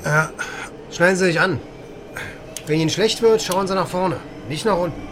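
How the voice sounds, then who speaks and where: An actor playing a chatty, cynical East German army helicopter pilot in a radio drama, speaking in short, breezy phrases. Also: male voice, high voice, moderate pace, noisy background, close to an everyday voice. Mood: brisk, casual.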